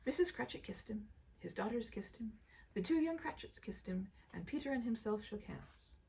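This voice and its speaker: A woman reading a story aloud with an expressive, theatrical and emphatic delivery. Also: fairly high pitch, normal speed, gravelly, rough recording. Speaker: reader.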